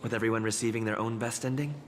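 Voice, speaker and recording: A man narrating a scripted story recap in a game in an even, formal storytelling manner. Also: on the low side, very fast, soft background music.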